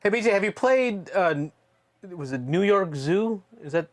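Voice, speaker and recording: A man's voice: sits high, animated, normal speed. A man chatting casually while playing a board game on a stream, reading out and answering viewer comments. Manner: reads out viewer comments and chats back. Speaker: board game player and host of a live play stream. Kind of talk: casual chat during board game play.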